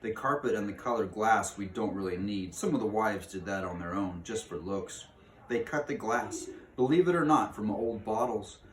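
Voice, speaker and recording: A man's voice: fairly low pitch, expressive, moderate pace, reverberant, natural voice. A man voicing a courteous, easygoing rat in a storybook, cheerful and casual. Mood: casual, pleased. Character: Justin, handsome alert male rat, courteous and easygoing.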